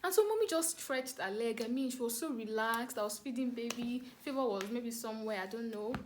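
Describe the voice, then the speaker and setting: A woman with a Nigerian accent telling a story casually to camera, animated and dramatic, repeating words for emphasis. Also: fairly high pitch. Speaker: vlogger telling a family story.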